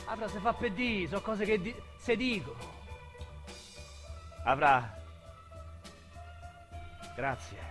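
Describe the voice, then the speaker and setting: A brash small-time Roman ex-convict in a radio play, crude, boastful and mocking in rough street slang. The voice sits high and bright, with a keen, cutting edge. Faint music plays behind him. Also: male voice, very animated, takes it slowly, long pauses, full character voice. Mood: rueful bragging. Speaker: lead actor. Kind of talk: radio drama acting.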